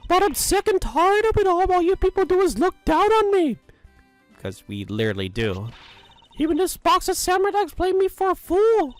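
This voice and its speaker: A performer voicing a clingy, dramatic old woman in a fan dub of a game, shrill and gushing. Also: wildly swooping pitch, normal speed, no echo, faint music behind, exaggerated voice. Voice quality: shrill, high and loud. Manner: gushing, scolding, rambling.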